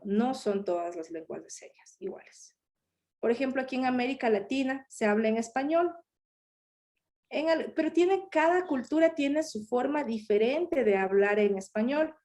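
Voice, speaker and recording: Woman interpreter, high mellow voice, short explanatory phrases on a video call. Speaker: sign language voice interpreter. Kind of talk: live voicing of a signed talk.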